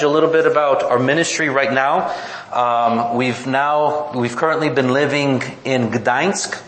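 A man's voice: mid-range voice, fairly bright tone, a little hiss or hum. A man who works as a missionary preacher, giving a rambling, self-deprecating report to a church congregation with many restarts. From born in the United States, raised in Mexico. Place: church meeting room. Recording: roomy hall echo.

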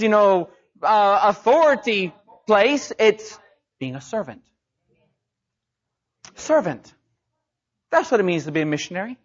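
A man's voice: sits high, fairly bright tone, dull audio. A man preaching a sermon as a missionary, talking casually and rising emphatically on his key points. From Mexico.

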